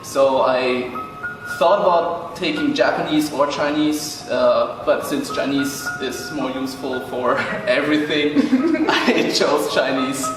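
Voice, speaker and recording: A man's voice: medium pitch, fairly even intonation, slow pace. Young man in heavy echo. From Germany. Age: young adult. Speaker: student. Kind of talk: casual chat. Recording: very echoey, distant, loud music behind. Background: loud background music.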